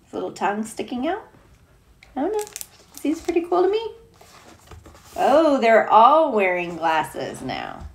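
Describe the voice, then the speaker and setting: A woman's voice: on the high side, wildly swooping pitch, unhurried, gravelly, long pauses. A woman teacher reading a picture book aloud to children, chatting playfully and putting questions to her young listeners. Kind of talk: children's read-aloud with casual asides to young viewers.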